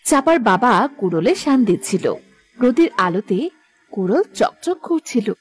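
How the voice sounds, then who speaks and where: A woman's voice: a little high, sing-song, moderate pace. A woman narrator reading a scripted cartoon fairy tale.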